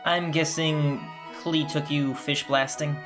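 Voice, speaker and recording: A man's voice: a little high, level tone, slow. A man giving casual game playthrough commentary as host, musing aloud and reading out choices. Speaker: gaming video host. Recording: game music behind voice.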